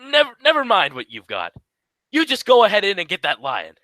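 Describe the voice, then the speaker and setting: A man's voice: high-pitched, huge pitch swings, fairly quick, bright, forceful tone, no room sound, studio quality, exaggerated voice. A man playing a bossy, cajoling, self-serving straight man in a vintage-style comedy radio double act. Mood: indignant, bossy.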